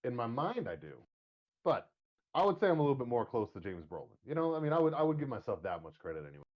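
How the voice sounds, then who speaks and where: A man's voice: medium pitch, sing-song, fast, highs cut off. A man hosting a casual chat show, rambling and joking with crude language. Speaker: podcast host.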